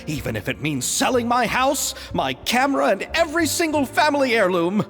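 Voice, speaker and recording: A man's voice: sing-song, fairly quick, fairly bright tone, full character voice. An actor playing a nervous, effusive male film director in game dialogue. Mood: flustered. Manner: flustered, emotional, leaps high in pitch. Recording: reverberant with music behind.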